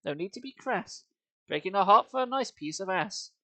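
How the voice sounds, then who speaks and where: Streamer, very animated intonation, amused singsong verse reading, chatty, dry close sound. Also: medium pitch, moderate pace, no room sound. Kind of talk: live reading of comic verse, chatty asides.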